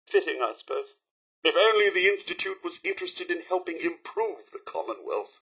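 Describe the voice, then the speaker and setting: A man's voice: a little high, bright, forceful tone, breathy edge, heavily acted. A man voicing a polite, fussy robot butler for a video game, with a British accent and a posh, theatrical, formal manner. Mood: musing. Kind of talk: video game character voice lines.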